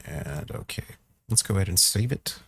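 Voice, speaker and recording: A man giving a casual software walkthrough, thinking aloud informally as he works through each step. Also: sits low, animated, moderate pace, vocal fry, husky, no echo. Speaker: tutorial presenter.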